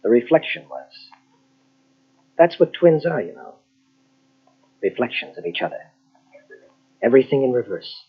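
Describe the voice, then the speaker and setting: An actor playing a grave, earnest psychologist in an old-style radio mystery, husky and deliberate, with dramatic pauses. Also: male voice, mid-range voice, very animated. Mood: gentle, reflective. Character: earnest, grave male psychologist confronting a killer. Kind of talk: 1940s radio mystery acting. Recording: dull lo-fi old radio tape.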